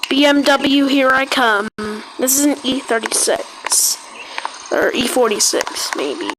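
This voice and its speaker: Slow, halting, high-voiced woman, noisy recording. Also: animated. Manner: halting, repeats words and phrases. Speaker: video creator narrating a made-up emergency alert scenario. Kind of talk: amateur emergency alert read-out mixed with casual gameplay chat. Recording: clearly noisy home recording with clicks.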